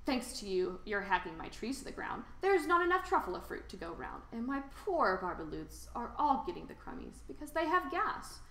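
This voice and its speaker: A woman's voice: a little high, very animated, moderate pace, few pauses, a bit bright. A woman voicing an indignant, bossy old forest guardian creature from a storybook, scolding emphatically. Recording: roomy, echoing sound.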